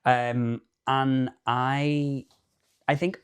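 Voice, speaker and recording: A man's voice: mid-range voice, extremely slow, smooth. Chatty man in his thirties. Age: 30s. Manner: animated, chatty, camp asides. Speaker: podcast co-host. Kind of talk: casual chat.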